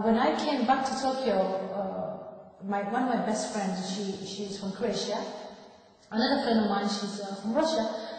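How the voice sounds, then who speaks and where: A woman in her thirties from Japan with a Japanese accent, speaking in her second language and often pausing to search for words as she tells her life story. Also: mid-range voice, darkish tone. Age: 30s. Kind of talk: personal testimony. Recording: echoey reverberant room, distant mic.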